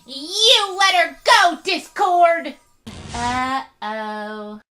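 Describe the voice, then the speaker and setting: A woman's voice: high-pitched, expressive, drawn-out words, sharp tone, soft background music, full character voice. A woman voicing a quirky young mare who feels left out, in a fan comic dub. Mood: alarmed. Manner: bouncy, defensive.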